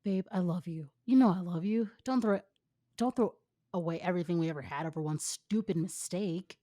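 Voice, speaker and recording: A woman's voice: fairly low pitch, fairly quick, dark tone, no echo, clean studio sound, lightly acted. A woman voicing a nervous teenage boy athlete in a story reading, whining and pleading desperately as a guilty boyfriend.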